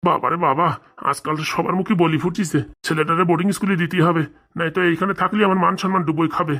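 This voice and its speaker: A man's voice: on the high side, steady tone, quick pace, bright, forceful tone. An actor playing an exasperated, grumbling father in a cartoon comedy, lamenting about his family. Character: exasperated father, ashamed of his wayward son.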